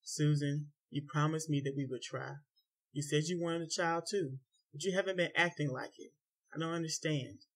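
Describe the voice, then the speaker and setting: A frustrated husband, stern and hurt, speaking low and close. A man narrating the story gives him his own voice, kept tight and restrained. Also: fairly even intonation, normal speed, fairly bright tone. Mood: soft. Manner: low and tight, dropping to near whisper.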